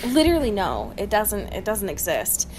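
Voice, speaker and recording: A woman's voice: mid-range voice, wildly swooping pitch, normal speed, darkish tone, a little hiss or hum. A woman co-hosting a podcast in casual banter, giggly and sarcastic, given to exclaiming. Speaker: podcast co-host. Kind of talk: casual chat, book recap banter.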